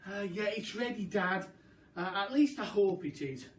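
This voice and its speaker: Man voicing mild young boy, slightly high, distant mic. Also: animated, normal speed. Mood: hesitant. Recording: roomy echo, mic at a distance.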